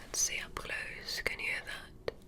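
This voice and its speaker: A woman doing a whispered ASMR roleplay as an alien examiner, counting slowly and carefully with a playful, teasing bedside manner. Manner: soft unvoiced whisper, slow careful counting. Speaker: ASMR creator.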